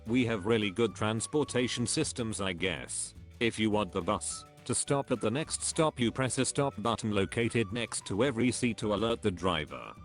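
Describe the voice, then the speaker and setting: Male synthetic narrator for reading online comments: lowish voice, evenly phrased, faint music bed. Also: moderate pace, few pauses. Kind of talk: scripted read-aloud narration.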